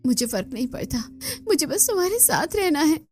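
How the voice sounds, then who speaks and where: Female actor as young runaway bride: high, emotional, pleading yet determined, audio drama with faint music. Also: sing-song, brisk, a little mellow. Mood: defiant, urgent. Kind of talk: dramatized Hindi audio story acting.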